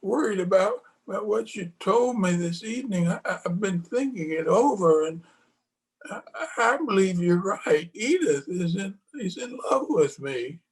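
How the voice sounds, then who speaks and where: An actor playing a feeble, forgetful old husband in a radio play, halting and repeating his words, tender and querulous. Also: sits high, expressive, poor audio. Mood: confused, fretful. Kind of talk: old-time radio drama acting. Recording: home video call with music.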